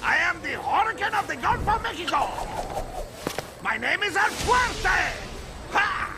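A man playing a flamboyant, boastful luchador in a video game, bombastic and gravelly, with loud noise behind. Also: very high voice, sing-song, unhurried, full character voice. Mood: boastful, excited. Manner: bombastic, shouted. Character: flamboyant boastful male Mexican luchador, excitable showman.

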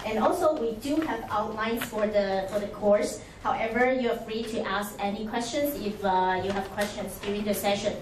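A woman walks trainees through their handouts on a handheld microphone, pausing often on small fillers. Her voice rings through a reverberant conference room, picked up from a distance with faint background noise. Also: medium pitch. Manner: friendly, frequent uh pauses. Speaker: workshop organizer. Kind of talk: casual announcements with fillers. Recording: echoey room from far off.

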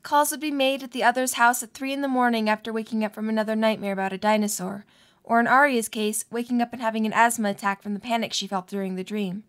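Female narrator for a fan fiction audio drama, higher register, reading a scripted story. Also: fairly even intonation, fast, a bit bright.

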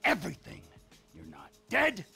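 An actor playing a volatile, menacing middle-aged criminal in a video game, ranting and profane, with a gravelly voice. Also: male voice, sits high, huge pitch swings, normal speed, pushed and bright, soft background music, heavily acted. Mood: furious. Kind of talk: dramatic video game cutscene acting.

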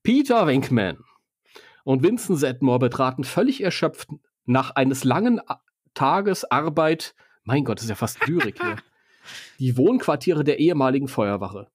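A man's voice: mid-range voice, sing-song, normal speed, husky, no echo, studio quality. A man with a German accent, co-hosting a podcast, reading a story and bantering with teasing asides. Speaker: podcast co-host reading a film novel aloud.

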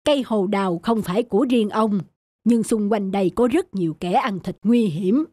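A woman voicing a gruff but kindly old male vulture in a cartoon, scolding like a grandfather. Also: medium pitch, moderate pace, warm tone, no room sound, lightly acted. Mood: kindly advice. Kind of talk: cartoon voice acting. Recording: music behind the voice.

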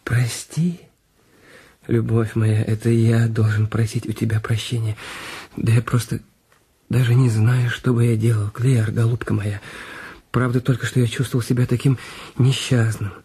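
A man's voice: mid-range voice, flat delivery, normal speed, warm tone, breathy edge. A man acting an anxious, guilty husband in a radio play, speaking in a hesitant, faltering, self-questioning way. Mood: tender, contrite. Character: Raoul Duval, anxious, guilty husband with secrets. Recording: music bed under the voice.

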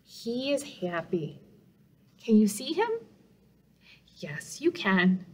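A middle-aged woman, a children's librarian reading a picture book aloud in simple, deliberate phrases for young listeners. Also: medium pitch, sing-song, slightly rough. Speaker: children's librarian and storytime host. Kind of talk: children's storybook read-aloud. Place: small office room indoors.

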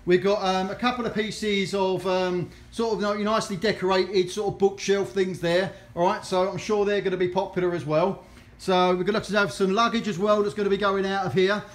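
A man's voice: high voice, level tone, a little fast. A man with a Cockney accent giving a jokey, rambling walk-round of items before an auction, filmed on a handheld phone in a large indoor warehouse. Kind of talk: casual walk-through commentary. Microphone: handheld phone camera, speaker off-screen. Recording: echoey warehouse room.

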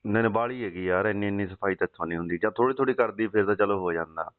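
A man's voice: lowish voice, rapid-fire. A man chatting casually and intimately on the phone. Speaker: soldier on leave, phone caller. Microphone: phone call. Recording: muffled lo-fi phone call recording.

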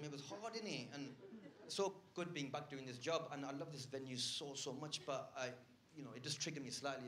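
A British man in his thirties doing grumpy, ranting stand-up comedy on a theatre stage into a handheld microphone. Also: medium pitch. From United Kingdom. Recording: echoey theatre, noisy live room.

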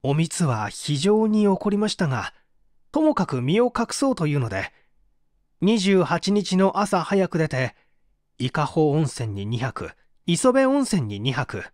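A man's voice: on the high side, very animated, unhurried, a little mellow, no room sound, studio quality. A man playing an evasive, subdued painter who is under interrogation, hesitant and confessional, in a dramatised mystery reading. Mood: subdued, resigned.

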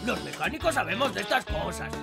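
A man playing a proud, excited young mechanic in a Castilian Spanish cartoon dub. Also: sits high, fairly quick, gravelly, heavily acted. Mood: thrilled, proud. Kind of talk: animated children's cartoon dub. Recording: music behind the voice.

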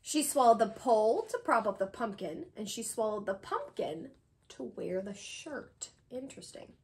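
A woman around her thirties reading a children's picture book aloud, expressive and sing-song, with drawn-out pauses for young listeners. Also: on the high side, creaky. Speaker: read-aloud host, tutor. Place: small indoor room with bookshelves.

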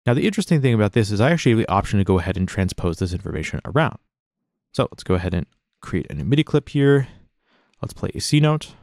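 A man narrating a casual step-by-step software tutorial in an informal, explanatory way. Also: on the low side, very animated, quick pace.

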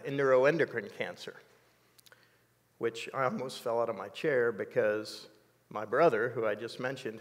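A man in his sixties telling a personal story in an interview, measured and reflective, with many fillers, on a dark studio set. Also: medium pitch, in a large hall. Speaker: interview guest.

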